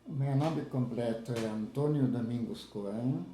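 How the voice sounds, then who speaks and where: A man in his seventies, from southern Brazil, recalling his life in a halting way with many restarts. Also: mid-range voice, warm tone, echoey room, slight background noise, poor audio. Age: 70s. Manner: halting, restarts with repeated words. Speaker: interviewee, lay church leader. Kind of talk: casual spoken recollection.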